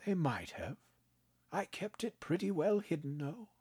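A man doing a calm frail old grandfather. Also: a little high, husky, no echo, voice pushed up, full character voice. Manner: slow, gentle, unbothered.

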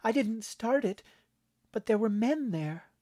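A man narrating a story, voicing an earnest, worried young boy in a high, urgent voice. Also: expressive, a little slow, mellow, no room sound, studio quality. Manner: urgent, pleading boyish voice.

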